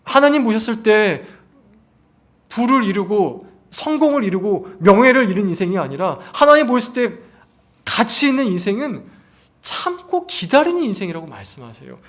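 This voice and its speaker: A man's voice: high-pitched, very animated, normal speed. A man preaching a sermon as a pastor, using rhetorical questions and repeating phrases for emphasis. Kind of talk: sermon to a congregation.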